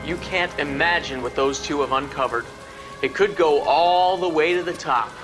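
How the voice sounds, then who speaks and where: An actor playing a composed, knowing official in a video game drama, measured and insinuating. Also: male voice, a little high, music in the background, lightly acted, rough recording. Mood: smooth, insinuating. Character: composed, knowing official dealing with police chief.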